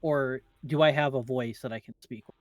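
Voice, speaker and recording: A man's voice: mid-range voice, steady tone, normal speed, no echo. A man playing in a tabletop game, talking casually and hesitantly about his character. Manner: hesitant, with fillers and laughs. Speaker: player.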